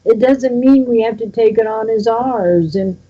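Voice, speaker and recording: A woman on a radio panel, speaking in a gentle, reflective way. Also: a little high, unhurried, slightly rough, dull audio. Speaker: panel guest.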